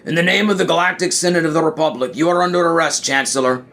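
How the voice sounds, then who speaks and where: Man doing a stern, raised-pitch Jedi master. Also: fast talker, a bit bright. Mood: defiant. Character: stern senior male Jedi master, grave and wary. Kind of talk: parody audio play character voice.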